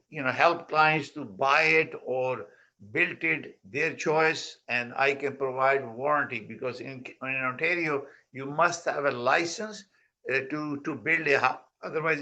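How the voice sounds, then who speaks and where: A man hosting an online real estate conference over a video call, talking in a halting way with repeated words. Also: medium pitch, pushed and bright. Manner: halting, repeats words, non-native phrasing. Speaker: host and founder of the conference. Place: Mississauga near Toronto.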